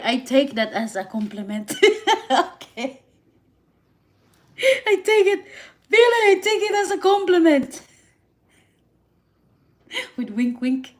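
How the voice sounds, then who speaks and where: A woman with a Filipino accent, whose first languages are Visayan and Tagalog, chatting casually on a live stream and answering viewer comments in halting English with frequent restarts. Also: very high-pitched, big pitch swings. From Philippines. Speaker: livestream host.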